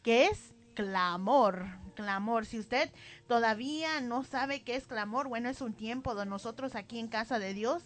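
High-voiced woman co-hosting church radio. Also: a little slow. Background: music.

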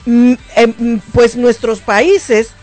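A woman's voice: a little high, fairly even intonation, takes it slowly, darkish tone, faint music behind. Fervent woman pastor declaring blessings. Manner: fervent, declaring, with stumbling restarts. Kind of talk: church talk program.

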